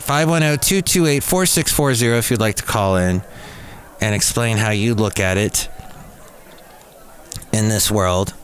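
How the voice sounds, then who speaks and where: A man's voice: fairly low pitch, slight background noise. A man hosting a solo podcast in a rambling, digressive way, with playful puns. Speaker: podcast host.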